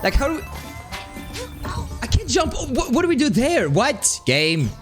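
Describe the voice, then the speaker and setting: A man streaming a game, with a light, high-pitched voice, chatting casually and rambling with lots of laughs. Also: normal speed. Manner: animated, rambling, laughs often. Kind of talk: casual live-stream chat while playing. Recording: music playing underneath. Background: game music.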